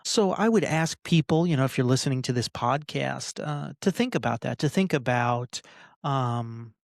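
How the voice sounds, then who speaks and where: A man in his forties talking casually as a podcast host, venting with halting, repetitive phrasing and some swearing. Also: mid-range voice, expressive, vocal fry, no echo, studio quality. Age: 40s. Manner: frustrated, sweary. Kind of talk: casual personal rant to listeners.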